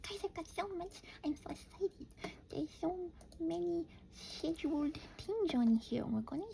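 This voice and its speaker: A small, excitable goblin, played by a woman, mutters in a high, squeaky voice. The words drag out slowly with a gravelly creak, and there is faint noise behind them. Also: full character voice. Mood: excited, fretful.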